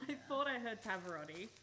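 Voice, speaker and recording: An Australian woman playing a blunt, teasing young bird-watcher who is quick to snap, acted naturally for a radio play. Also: on the high side, big pitch swings. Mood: teasing, casual. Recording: live recording with faint background noise.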